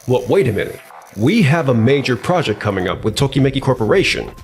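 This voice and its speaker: A man plays an impatient male boss in a manga dub. His mid-range voice jumps about in pitch, curt and exasperated. He comes across as blustering and defensive. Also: very animated, quick pace, soft background music, close to an everyday voice. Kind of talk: expressive manga dub voice acting.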